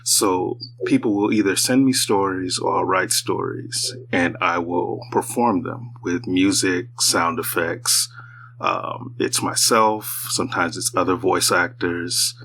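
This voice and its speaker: Soft-spoken deep-voiced storyteller. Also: male voice, slow, gravelly, slight background noise. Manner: soft-spoken, hesitant with many ums. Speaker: horror storyteller and show creator. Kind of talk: casual guest chat on a live stream.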